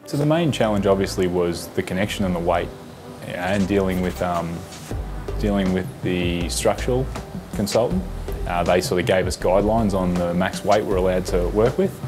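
A man's voice: deep voice, moderate pace, over music. A man, giving an interview testimonial on a lapel mic. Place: bright indoor stadium room.

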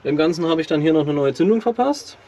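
A man's voice: on the high side, fast. A man hosting a casual car vlog, presenting his vintage car outdoors in an enthusiastic, chatty way. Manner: enthusiastic, chatty, relaxed hobbyist talk. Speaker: car channel host.

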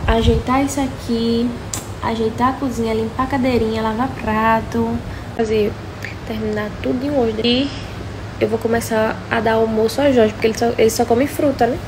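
A woman in her twenties with a Northeastern Brazilian accent and a slightly hoarse voice, chatting casually to her followers on a phone at home. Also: fairly high pitch, fairly even intonation, moderate pace. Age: 20s. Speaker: social media vlogger. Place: indoors at home, several rooms. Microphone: phone at arm's length. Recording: noisy phone recording at home.

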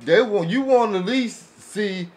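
Higher-voiced man chatting on a podcast. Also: measured pace. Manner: colloquial, frequent tag questions. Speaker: podcast panelist. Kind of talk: casual discussion. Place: small home-style podcast studio. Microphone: podcast microphone on boom arm.

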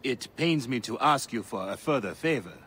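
An actor playing a gentle, weary male village priest who speaks politely in a video game. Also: mid-range voice, lively intonation, close to an everyday voice. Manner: polite, measured, courteous.

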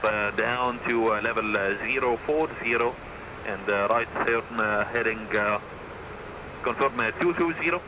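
A man, a pilot, hesitantly reading back a clearance on the radio. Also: on the low side, measured pace. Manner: hesitant, many fillers. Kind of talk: radio readback. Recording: loud cockpit noise.

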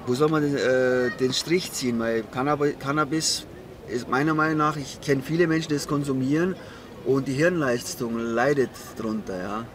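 A man's voice: mid-range voice, normal speed. A middle-aged man giving his opinion casually in a street interview. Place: outdoors on a city square. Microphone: handheld interviewer's mic. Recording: faint outdoor city traffic noise.

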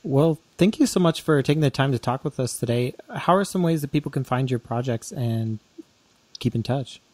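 A man's voice: mid-range voice, animated, normal speed, darkish tone. A man hosting a podcast interview in a conversational style.

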